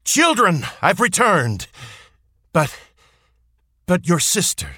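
Timid, halting old father acted by a storyteller. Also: medium pitch, very animated, bright, forceful tone, no room sound, studio quality, pitched below the performer's own voice, exaggerated voice. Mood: sorrowful. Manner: stammering, halting, emotive. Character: timid, gentle, loving merchant father, male, older.

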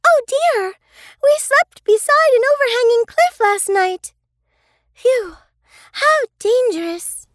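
A woman voicing an eager, kind, excitable little girl in a children's storybook drama. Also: very high voice, takes it slowly, no room sound, clean studio sound, voice pushed up, full character voice. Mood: shocked. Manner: bright-eyed, excitable, exclamatory.

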